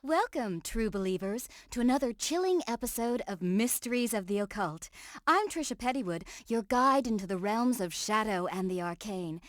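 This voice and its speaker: A woman's voice: a little high, lively intonation, normal speed, natural voice. A woman playing a gushing, theatrical host of an occult television show in a video game. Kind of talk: video game voice acting, TV presenting.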